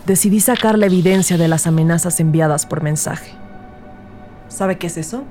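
A woman's voice: lowish voice, normal speed, dark tone, faint music behind, natural voice. A woman playing a rookie detective who narrates her case in a scripted crime audio drama. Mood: grave, measured.

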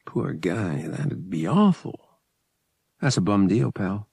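Man as a casual, slangy human pilot, gravelly. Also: deep voice, sing-song, slow pace, darkish tone, no echo, studio quality, exaggerated voice. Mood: sympathetic, casual. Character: young human male pilot, cocky, sympathetic, slangy.

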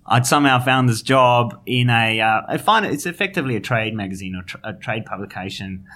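Bright-voiced South African man. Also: medium pitch, brisk. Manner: hesitant, many restarts and fillers. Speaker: guest, finance journalist. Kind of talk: conversational interview.

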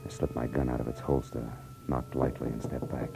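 A man's voice: rumbling low, flat delivery, a little fast, creaky, music in the background. A man playing a tough, wry American private detective in 1940s radio noir style, with clipped, slangy delivery. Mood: wry narrating. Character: hard-boiled male private detective, tough, wry, world-weary. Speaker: detective narrator and lead. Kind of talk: 1940s radio noir acting and first-person narration. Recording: noisy vintage radio recording.

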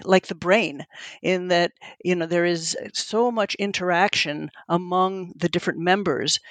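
A middle-aged man whose first language is Italian answers interview questions about his lab in a high voice. He talks haltingly, often repeating words and starting over mid-sentence. The recording sounds dry and close, with no room echo. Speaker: lab head and researcher.

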